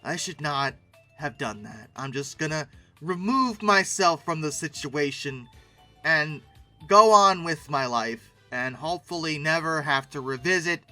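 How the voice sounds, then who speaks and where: Sheepish low-voiced tyrannosaur, put on by a commentator. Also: lively intonation, bright, forceful tone, faint music behind. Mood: embarrassed. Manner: halting, sheepish mutter. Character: embarrassed tyrannosaur awkwardly sneaking away.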